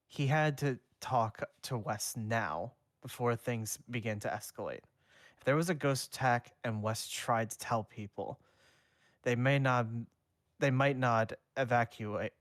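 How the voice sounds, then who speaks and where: A man's voice: mid-range voice, a bit bright, no room sound. A man streaming a fanfic reading, chatting casually with loose restarts and swearing, on a close desk mic. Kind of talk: casual live reading and chat.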